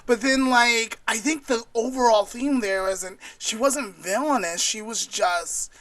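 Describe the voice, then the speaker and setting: A woman's voice: fairly high pitch, measured pace, no echo. A woman co-hosting a podcast, talking in an informal, inquisitive and conversational way. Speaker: podcast co-host. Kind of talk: casual podcast chat.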